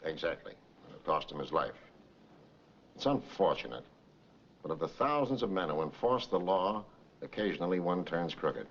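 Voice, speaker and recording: A man's voice: sits low, animated, moderate pace, long pauses. An actor playing an authoritative, matter-of-fact male police captain in a 1940s crime film. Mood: explanatory. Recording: dull, noisy 1940s film soundtrack.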